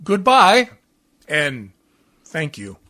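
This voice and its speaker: A man portrays a stern, pious missionary reverend in an old-style radio drama. He speaks slowly in a high, bright male voice, formal and halting. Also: wildly swooping pitch, heavily acted. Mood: disapproving. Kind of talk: amateur radio play acting in old Hollywood style.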